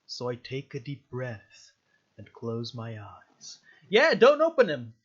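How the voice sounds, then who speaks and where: A man's voice: medium pitch, huge pitch swings, slow pace, slightly rough, dull audio. A man doing a let's play of a visual novel, reading the text aloud and breaking off with jokey, sweary reactions. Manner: sweary, jokey exclamations between read-aloud passages. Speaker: gaming video host.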